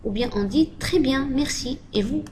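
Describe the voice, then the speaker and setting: A woman giving a French lesson, talking slowly and repeating model phrases for learners. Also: sits high, darkish tone, slight background noise. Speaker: French teacher. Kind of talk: slow spoken language lesson.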